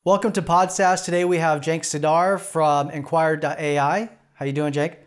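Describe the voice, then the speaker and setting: Relaxed podcast host, slightly high voice. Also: male voice, normal speed, clean tone. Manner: relaxed, filler-heavy, says "got it" often. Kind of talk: casual interview chat.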